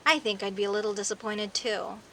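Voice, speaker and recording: A woman's voice: mid-range voice, fairly even intonation, a bit bright, lightly acted, rough recording. A woman playing a friendly, teasing werecat neighbor in an audio roleplay, talking conversationally and straight to the listener. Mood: apologetic. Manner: teasing, indignant, conversational with sighs and pauses. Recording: faint hiss and rain noise. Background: rain ambience.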